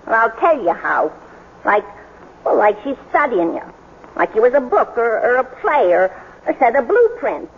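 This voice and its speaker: A female maid in old radio drama style, folksy and blunt, full of deadpan suspicion. The sound is reverberant and dull, with faint noise. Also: a little high, normal speed. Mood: sardonic. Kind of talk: 1940s-50s radio drama acting.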